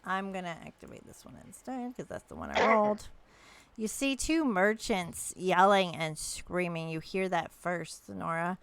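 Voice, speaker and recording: A woman acting as game master for a tabletop session, her voice gravelly with plenty of fry. She is relaxed and laughs easily, then slows down when she narrates a scene. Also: fairly low pitch, slight background noise. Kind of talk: running a tabletop game session over voice chat.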